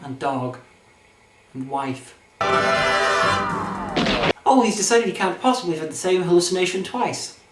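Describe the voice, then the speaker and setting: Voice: medium pitch, sing-song, a little slow, long pauses, over loud music. A comedy film review host with a sarcastic, theatrical, mock-imperious way of delivering scripted commentary. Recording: roomy echo, faint background noise.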